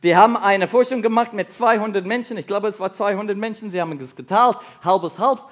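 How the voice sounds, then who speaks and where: A man from South Africa whose first language is English, with a South African accent, preaching a sermon in halting, non-native German and putting questions to his listeners. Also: high-pitched. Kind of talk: sermon to a congregation.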